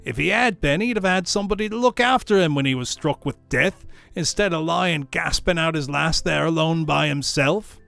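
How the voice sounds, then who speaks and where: A man's voice: a little high, moderate pace, creaky, fairly bright tone, no room sound, faint music behind, pitched above the performer's own voice. A man voicing a brazen, scornful charwoman in a storybook reading, coarse, gloating and emphatic. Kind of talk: storybook character voice.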